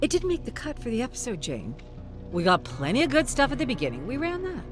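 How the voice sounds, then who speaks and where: A woman plays a male TV boss in a dramatic story, stern and hard to faze. He sounds dismissive, with expressive pitch movement over music. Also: fast. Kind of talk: dramatic audiobook character voice.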